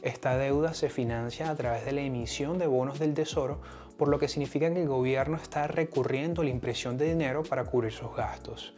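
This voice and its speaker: A man's voice: mid-range voice, fairly even intonation, brisk, darkish tone, faint music behind. A man with a Venezuelan accent hosting an explanatory podcast in a partly scripted monologue. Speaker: podcast host.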